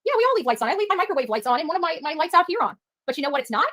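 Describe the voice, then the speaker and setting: High voiced lady livestreamer talking fast, rambly, emphatic, repeats herself, dry sound. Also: big pitch swings, fairly bright tone, no room sound. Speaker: livestream host reading viewer chat. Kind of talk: rambling live-stream chat.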